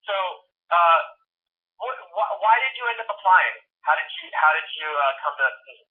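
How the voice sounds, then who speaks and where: A man's voice: sits high, animated, fairly quick, narrow, tinny audio. A man interviewing casually, stumbling and repeating words before getting his question out.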